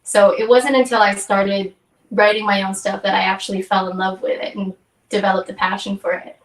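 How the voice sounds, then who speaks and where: A woman's voice: medium pitch, level tone, brisk, far from the mic. A young woman from Hawaii, a singer-songwriter guest, chatting in an upbeat way in an interview and acting out the things people said to her. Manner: upbeat, chatty, acts out quoted exchanges. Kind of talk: casual interview chat. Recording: echoey room, voice from off mic.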